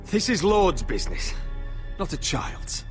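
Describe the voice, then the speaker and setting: An actor playing a proud, strained young lord in a video game drama, speaking in a formal, earnest manner. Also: male voice, a little high, sing-song, a little slow, soft background music. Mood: guarded, stern.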